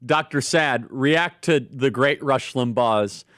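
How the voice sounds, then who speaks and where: A man's voice: medium pitch, takes it slowly, fairly bright tone, no echo, studio quality. Enthusiastic male talk show host.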